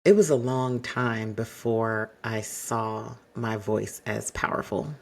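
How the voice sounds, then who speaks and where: A man hosting an advice podcast, talking straight to the listener. His voice is husky with a gravelly edge and stays mostly monotone. His delivery is measured and exacting. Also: on the low side. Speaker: podcast host.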